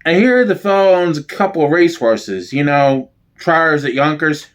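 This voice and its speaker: High-voiced man giving a theatrical reading. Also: animated, normal speed. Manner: theatrical, switching between characters. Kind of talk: one-man dramatic reading of a sitcom script.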